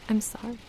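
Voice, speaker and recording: A woman playing a lovestruck, lonely witch in an ASMR roleplay, soft-spoken, whispery and seductive in a tender, intimate way. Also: slight background noise. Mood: sorrowful, tender. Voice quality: breathy, whispery. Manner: slow, tender, intimate delivery.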